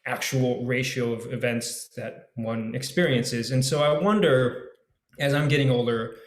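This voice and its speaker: A man speaking reflectively in a panel discussion, halting and circling back as he thinks aloud, like an actor sharing a personal view. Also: mid-range voice, steady tone. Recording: roomy, echoey video call sound.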